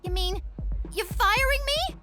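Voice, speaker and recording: A woman's voice: very high-pitched, lively intonation, a little slow, loud music behind the voice. A woman voicing a spoiled, whiny teenage daughter who is dramatic and bratty. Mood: indignant.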